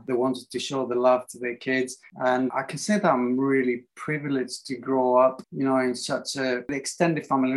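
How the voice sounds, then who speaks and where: This is a man from Greece speaking, a guest on an interview podcast. He talks in a relaxed, open and reflective way, often pausing with little fillers as he thinks. His voice sits at an ordinary middle pitch for a man. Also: rough recording. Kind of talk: casual interview conversation.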